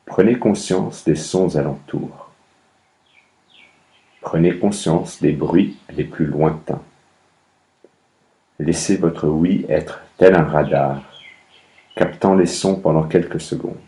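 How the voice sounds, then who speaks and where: A man with an extremely low, dark voice leads a guided yoga nidra session. He gives calm, measured instructions at a very slow pace, leaving long pauses between phrases. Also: rough recording.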